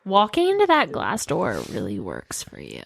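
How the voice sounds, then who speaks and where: A woman's voice: lowish voice, wildly swooping pitch, normal speed, creaky, slightly rough, no echo. A woman co-hosting a podcast in casual comic chat, reacting with playful banter. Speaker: podcast co-host.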